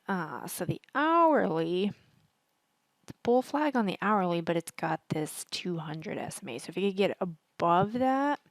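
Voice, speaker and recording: A woman with a husky, partly hoarse voice, chatting casually and thinking aloud as she hosts a stock market screen-share commentary. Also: medium pitch, no echo. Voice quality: husky, worn voice. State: partly hoarse from singing at a concert. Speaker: stock trading educator, video host.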